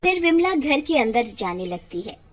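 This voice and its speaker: Expressive, husky woman narrator. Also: sits high, normal speed. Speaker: story narrator. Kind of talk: scripted horror story narration.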